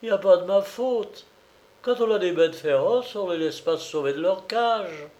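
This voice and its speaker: A man's voice: high voice, moderate pace, voice pushed up, natural voice. Man doing a brazen, flustered male traveller. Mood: defensive. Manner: pleading, excitable protests. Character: cheeky cornered male traveller, brazen liar.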